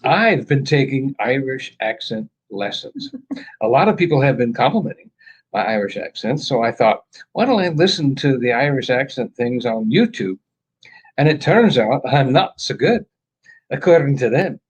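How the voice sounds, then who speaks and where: Joking man with a husky, lively voice. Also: mid-range voice, moderate pace, rough recording. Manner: joking, playful storyteller. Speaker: co-host of a live stream chat. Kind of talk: casual live-stream chat.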